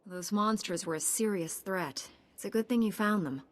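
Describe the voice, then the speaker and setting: A woman playing a composed, resolute knight heroine in a video game, calm and direct. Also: mid-range voice, normal speed, natural voice. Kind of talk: video game cutscene acting.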